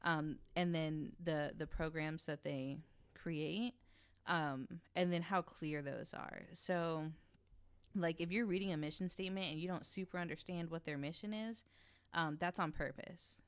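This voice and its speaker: A woman's voice: sits low, measured pace, smooth. A woman explaining things casually on a podcast, informal and frank in her delivery. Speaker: podcast panelist.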